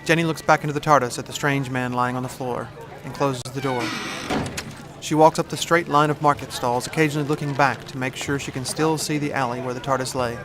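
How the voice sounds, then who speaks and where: Male narrator: medium pitch, scripted present-tense storytelling over clear music bed, audio drama. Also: fairly even intonation, a little fast.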